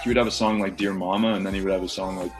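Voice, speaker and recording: A man hosting a casual interview, asking relaxed, conversational questions. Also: low voice, racing, soft background music. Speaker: interviewer host.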